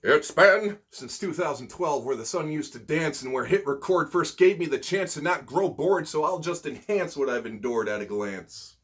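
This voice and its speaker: A man with a husky voice, an amateur poet hosting his own videos, talking casually and reciting spoken-word poetry. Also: a little high, expressive, moderate pace, few pauses, fairly bright tone.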